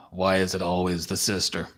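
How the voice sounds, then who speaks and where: A man's voice: sits low, monotone, measured pace, a bit bright. A man playing a folksy, tender, caring cowboy-like companion in a dramatic play reading. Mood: concerned.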